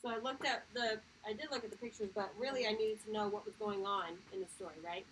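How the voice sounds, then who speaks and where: A woman's voice: mid-range voice, fairly even intonation, quick pace, fairly bright tone, a little hiss or hum, poor audio. A woman elementary teacher leading a read-aloud reading lesson for children, encouraging and emphatic, with plenty of questions.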